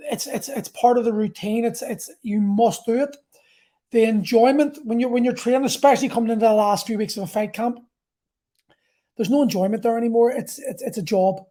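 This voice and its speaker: A man's voice: high voice, warm tone. A man, talking casually and candidly about his own experiences as a podcast host and coach, rambling a little and swearing freely. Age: 40s. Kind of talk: casual solo talk about personal experience.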